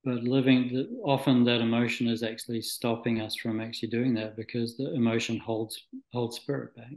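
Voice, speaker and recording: A man from Scotland who moved to New Zealand as a child, speaking reflectively and haltingly as an interview guest, searching for words. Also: mid-range voice, flat delivery, a little mellow. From Scotland, moved to New Zealand at nine. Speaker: interview guest, spiritual practitioner. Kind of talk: reflective, conversational interview answers. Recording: echoey room.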